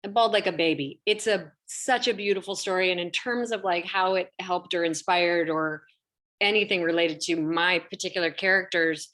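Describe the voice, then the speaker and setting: A woman chatting casually as a panel guest, telling things in an expressive, animated way. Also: lowish voice, moderate pace.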